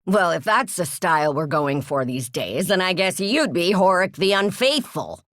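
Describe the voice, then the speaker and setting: A commanding old granny for a video game. Her voice is lowish with plenty of movement. She is sardonic and skeptical, recorded dry and close. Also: animated, moderate pace, a bit bright, dry recording. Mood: dry, teasing. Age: older. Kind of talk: scripted video game acting.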